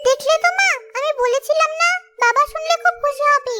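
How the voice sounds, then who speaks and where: Woman does an eager, well-mannered cartoon daughter, squeaky-high, over music. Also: a little fast. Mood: excited, polite. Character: excited, polite young daughter. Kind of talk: cartoon voice acting.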